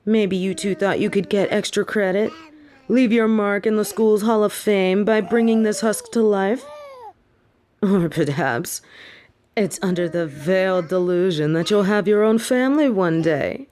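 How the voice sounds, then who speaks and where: A woman acts out an older headmistress of a school of magic. She is stern and haughty, choosing formal words with measured contempt, in the manner of a fantasy audio play. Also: medium pitch, darkish tone, lightly acted. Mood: accusing. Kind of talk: fantasy audio roleplay acting.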